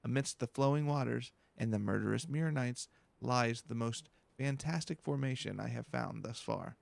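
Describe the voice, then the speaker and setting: Man doing a grandiose, excitable researcher, slightly creaky. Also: mid-range voice, moderate pace, dry recording. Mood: gleeful, grandiose. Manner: grandiose, alliterative, theatrical. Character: self-important, excitable male student researcher, florid and grandiose. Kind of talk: video game dialogue read aloud.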